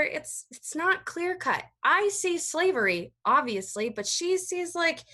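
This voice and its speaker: A woman playing a guarded, conflicted young college student in a staged reading, halting and sighing as the character deflects. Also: sits high, sharp tone, heavily acted. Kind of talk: live staged reading of a play over video call. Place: online video call staged reading.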